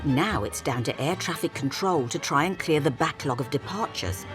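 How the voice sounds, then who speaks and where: Woman narrator, low voice, lively wry delivery, music underneath. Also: moderate pace. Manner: wry, playful voice-over. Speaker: documentary narrator. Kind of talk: scripted narration.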